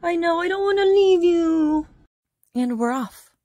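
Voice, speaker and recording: A woman's voice: extremely high pitch, expressive, a little slow, darkish tone. A young woman vlogging casually at home, chatty and bubbly with a self-mocking streak. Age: young adult. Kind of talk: casual vlog chat. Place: living room at home. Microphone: phone camera at arm's length.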